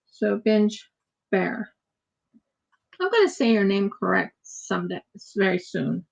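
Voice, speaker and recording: A woman's voice: mid-range voice, very animated, slow, vocal fry. An American woman chatting casually and rambling as she crafts on a livestream, often repeating her words. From United States. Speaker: craft streamer.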